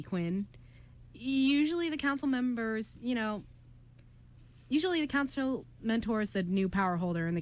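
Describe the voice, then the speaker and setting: A woman playing an earnest, hesitant male time-traveling mentor in an amateur radio play, with a halting, friendly manner. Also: medium pitch, natural voice. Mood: hesitant, uneasy.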